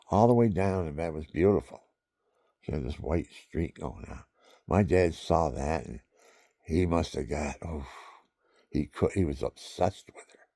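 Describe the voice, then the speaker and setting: A man with a very low, gravelly voice drifts slowly from one family story to the next, circling back and repeating lines as he goes. The sound is close and dry, with no room around it. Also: a little mellow, no echo. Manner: meandering, repeats himself, chuckles at his own stories. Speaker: vlogger. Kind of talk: rambling personal storytelling.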